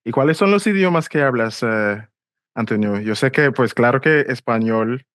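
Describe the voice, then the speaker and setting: A man's voice: medium pitch, lively intonation, moderate pace, fairly bright tone, no echo, clean studio sound. A man hosting a podcast interview, asking questions hesitantly with many fillers and repeated words. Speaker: podcast host. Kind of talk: casual interview conversation.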